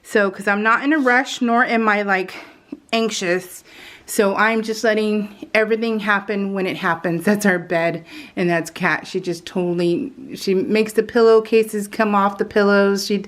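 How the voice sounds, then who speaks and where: A woman with a mid-pitched voice talks casually while she crafts. She is chatty and giggly, drifting from thought to thought and often interrupting herself, in the relaxed style of a real-time hobby vlog. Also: moderate pace. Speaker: craft vlogger.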